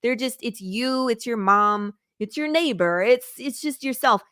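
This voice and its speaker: A woman's voice: fairly high pitch, animated, unhurried, a bit bright, clean tone, dry recording, clean studio sound. A woman from Michigan with a Midwestern accent, chatting eagerly as a guest and laughing often. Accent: Midwestern American. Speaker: comic creator guest. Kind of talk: casual interview chat.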